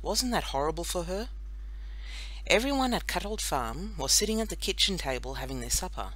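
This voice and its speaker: A woman narrating a children's audiobook in an expressive, deliberate storytelling manner. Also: lowish voice, rough recording. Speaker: audiobook reader.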